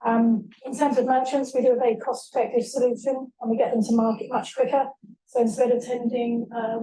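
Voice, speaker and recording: A woman's voice: on the high side, dark tone. A woman speaking on a panel as a tech founder, businesslike, with frequent ums and restarts. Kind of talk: panel talk. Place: event hall. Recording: echoey room, distant mic.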